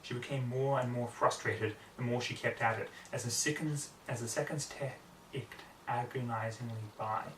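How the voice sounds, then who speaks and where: A man's voice: mid-range voice, fairly even intonation, normal speed. A young man reading a story aloud as a dramatic, earnest narration, recorded in a small home room on a distant camera mic. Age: young adult. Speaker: story reader. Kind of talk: dramatic fan fiction narration. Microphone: distant camera microphone. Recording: roomy, distant mic, background noise.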